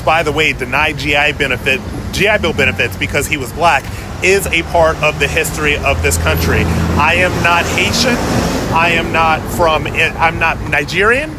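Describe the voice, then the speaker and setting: A man's voice: fairly high pitch, background noise. A man making a firm, insistent, declarative statement outdoors. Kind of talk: impassioned statement.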